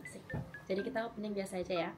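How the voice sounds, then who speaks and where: A young woman in her twenties, slightly hoarse from a recent cold, chatting casually and rambling with lots of fillers and giggles while she does her makeup at home. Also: mid-range voice, fairly even intonation, racing, music in the background. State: recovering from a cold. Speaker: beauty vlogger. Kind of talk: casual makeup vlog chat.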